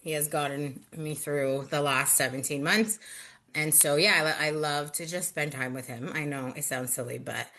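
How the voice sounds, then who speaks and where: Chatty woman with a bright voice. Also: deep voice. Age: 30s. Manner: chatty, full of "like" and quick asides. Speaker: interview guest. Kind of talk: casual interview conversation.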